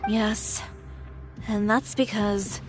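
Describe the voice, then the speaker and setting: Young female fashion designer for a game: medium pitch, frank, self-possessed, dry delivery. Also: level tone, extremely slow. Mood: composed, decisive. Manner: composed, matter-of-fact, dry. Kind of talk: video game character acting. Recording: game music behind.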